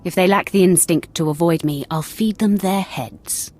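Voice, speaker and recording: Actress as a low-voiced, menacing young Sith woman. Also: normal speed, a little mellow, faint music behind, lightly acted. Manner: cold, threatening, self-assured. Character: menacing, arrogant young female Sith acolyte. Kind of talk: dramatic video game dialogue.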